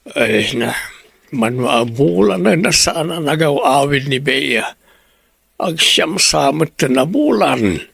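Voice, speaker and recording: A man's voice: on the high side, animated, slow, gravelly, a bit bright, breathy edge, dry recording, full character voice. A male actor playing a blunt, unapologetic man in an audio drama, with a Filipino Ilocano accent. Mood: curious.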